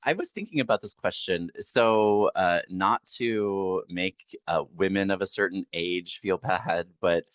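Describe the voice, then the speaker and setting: A person in their late forties, a podcast guest talking in a relaxed, candid way and laughing easily. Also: male voice, deep voice, measured pace, hardly any pauses, dry recording, muffled recording. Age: 40s. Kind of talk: casual podcast interview.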